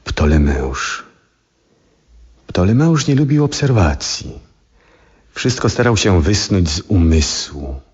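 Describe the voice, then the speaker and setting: An actor playing an aging, troubled, devout astronomer in a 1970s radio drama, speaking in a solemn, reflective and husky way. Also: male voice, low-pitched, big pitch swings, a little mellow, full character voice. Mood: wondering, resolute. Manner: solemn, reflective, theatrical, deliberate phrasing. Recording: dull vintage radio recording.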